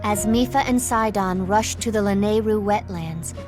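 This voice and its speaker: A woman narrating a fantasy game as a serious, studious researcher in a measured, scripted manner. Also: medium pitch, lightly acted. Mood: grave. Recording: faint music behind the voice.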